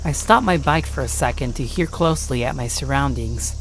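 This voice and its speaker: A woman's voice: rumbling low, a little fast, a little hiss or hum. A woman giving a scripted first-person horror story narration.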